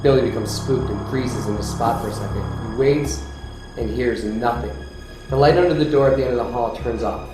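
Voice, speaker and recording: A man's voice: mid-range voice, brisk. A man leading a screenplay table read as filmmaker, reading directions and talking casually. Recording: very echoey room, loud music behind.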